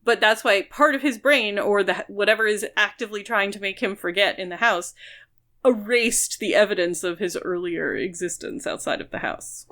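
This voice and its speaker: A woman's voice: mid-range voice, normal speed, pushed and bright. Female podcast co-host, mid-range voice, analytical, talks through a book casually and at length. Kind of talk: casual book discussion chat.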